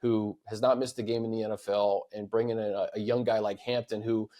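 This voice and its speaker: A man's voice: on the low side, little pitch movement, fairly quick, mellow. An American man hosting a sports podcast in casual, conversational talk, often repeating words as he thinks aloud. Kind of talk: casual sports talk, fielding fan questions.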